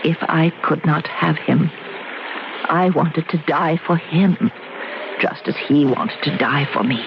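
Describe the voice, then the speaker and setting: A woman's voice: low voice, slow pace, creaky, full character voice. An actress playing the mysterious, alluring ghost of a young woman in a radio drama, speaking solemnly and enigmatically. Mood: mournful, solemn. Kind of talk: old radio drama acting. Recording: muffled noisy old radio recording.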